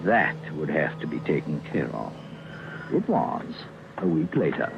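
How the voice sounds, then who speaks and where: A fussy, sinister British doctor, old radio. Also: male voice, extremely low pitch, sing-song, a little slow, gravelly, background noise, heavily acted. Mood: sinister, brooding. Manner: hesitant, muttering, falsely genial. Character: fussy, secretive murderous doctor narrating his confession. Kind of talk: old-time radio drama acting. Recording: dull old radio recording with music.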